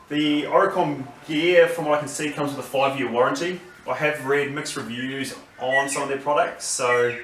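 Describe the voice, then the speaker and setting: Roomy noisy recording, Australian man, casual gear reviewer, mid-pitched voice, halting unscripted delivery. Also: bright, forceful tone. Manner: unscripted, halting, thinking aloud. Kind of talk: casual unboxing and review talk. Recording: roomy, distant mic, noisy background.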